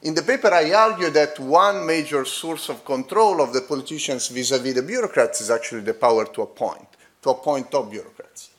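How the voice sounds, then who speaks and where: A man with a foreign accent presents a research paper to a conference audience. His voice sits fairly high for a man. He stumbles through fillers and restarts and keeps checking in with the listeners. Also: fairly bright tone. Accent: non-native accent. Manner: halting, frequent fillers and restarts; talks straight to the audience with checking "okay?" tags. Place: conference hall.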